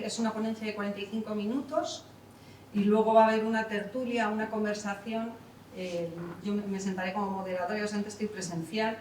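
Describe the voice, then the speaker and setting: A woman's voice: medium pitch, recorded from a distance, a little hiss or hum. Woman introducing, echoey hall. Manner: chatty, with many fillers and self-corrections. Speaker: artistic director and moderator. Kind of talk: introducing a conference panel. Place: theatre hall.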